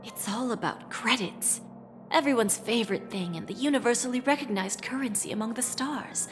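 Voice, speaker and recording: A woman playing a shrewd, composed corporate negotiator in a video game, speaking with deliberate, assured phrasing and quiet menace. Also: on the high side, faint music behind, natural voice. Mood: smoothly persuasive. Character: cool, shrewd female corporate negotiator, calmly threatening. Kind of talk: dramatic video game voice acting.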